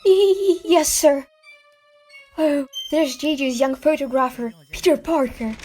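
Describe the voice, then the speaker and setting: A woman plays a timid cartoon secretary. Her high voice is nervous, meek and polite, with faint music behind it. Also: brisk, a little mellow, natural voice. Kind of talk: comic book dub voice acting.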